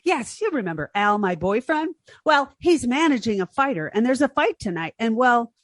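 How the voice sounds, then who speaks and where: A naive, scatterbrained young woman, played by an actress in an old-time radio comedy. Her high voice swings up and down as she stammers and hesitates, flustered and nervous, in a dry, close recording. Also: big pitch swings, moderate pace, dry recording. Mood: anxious. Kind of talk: 1940s radio comedy acting.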